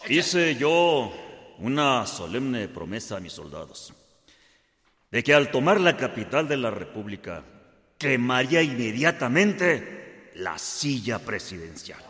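A man's voice: medium pitch, fairly bright tone. A man playing a boastful Mexican revolutionary orator in a radio drama, declaiming to a gathering. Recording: reverberant hall with crowd.

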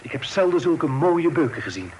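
An actor playing a polite, flustered young gentleman in a Dutch radio play, speaking haltingly and courteously. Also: a little high, very animated. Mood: hesitant, polite. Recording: noisy old radio play recording.

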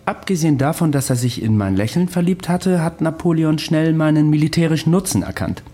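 An actor playing a wry, world-weary man recounting his past, dry and husky, in a German radio drama. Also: fairly high pitch, animated, a little fast, warm tone. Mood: wry, reflective.

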